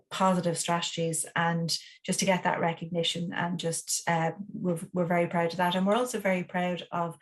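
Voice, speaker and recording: Hesitant woman with a low, flat voice. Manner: hesitant, many restarts and fillers. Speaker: award finalist, company representative. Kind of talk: online panel interview.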